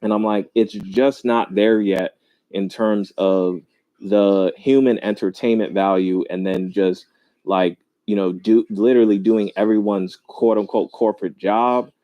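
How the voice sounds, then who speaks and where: Mellow-voiced skeptical man. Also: on the low side, steady tone, no echo, dull audio. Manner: skeptical, drawn-out, searching for words. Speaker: debate panelist. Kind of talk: casual debate.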